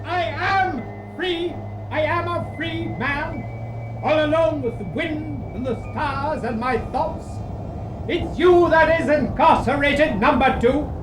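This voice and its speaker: A man plays a defiant prisoner with a very high voice, speaking very slowly. His brooding, unhinged lines ring out in an echoey space with music behind. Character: defiant male prisoner clinging to his village. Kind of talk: fan audio drama acting.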